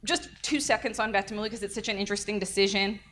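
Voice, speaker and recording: An energetic young woman lectures at a legal workshop, speaking loudly and briskly into a microphone. Her delivery is lively and direct, with a mid-range voice in a conference room. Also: mid-range voice, slight background noise. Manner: energetic, lively, self-described loud. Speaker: defense attorney giving a workshop talk. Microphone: handheld or podium mic.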